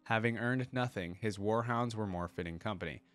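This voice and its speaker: Brutal undead warrior read by a man, low voice, weary and bloodthirsty, terse storybook monologue over faint music. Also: brisk, fairly bright tone, lightly acted. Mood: grim, restless. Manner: terse, grim declarations. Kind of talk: dramatic first-person story reading.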